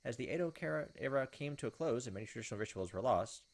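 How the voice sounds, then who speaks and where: A man's voice: mid-range voice, lively intonation, racing, gravelly. A man reading visual novel text aloud as a let's player, with casual asides. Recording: game music behind the voice. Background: video game music.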